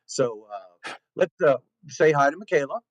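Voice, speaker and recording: A man's voice: on the high side, lively intonation, moderate pace, no room sound. A man hosting an interview show, chatting in a friendly way and praising his guest. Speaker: show host. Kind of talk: casual interview chat.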